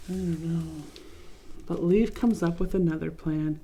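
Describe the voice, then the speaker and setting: A young adult woman reading a picture book aloud to children, gently commenting and asking them questions. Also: fairly low pitch, a little slow, husky. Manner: gentle, asks listeners questions, adds comments. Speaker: children's story reader.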